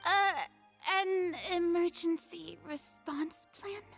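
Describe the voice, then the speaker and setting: A woman's voice: very high-pitched, steady tone, slow, creaky, exaggerated voice. An actress playing a proud, theatrical young female god in a video game, pompous and defensive, haughty yet insecure underneath. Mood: flustered. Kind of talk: dramatic video game voice acting. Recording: faint background music.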